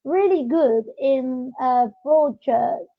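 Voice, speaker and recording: A woman with a very high voice hosts a casual podcast conversation. She is agreeable and often chimes in briefly. The recording sounds dull and lo-fi. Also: takes it slowly. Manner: agreeable, frequent short interjections. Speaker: podcast host.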